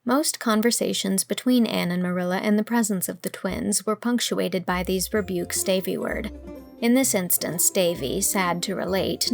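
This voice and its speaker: A woman with a mid-pitched voice and a noticeable creak narrates a classic storybook. Her reading is scripted and expressive, moving between description and dialogue, with faint music underneath.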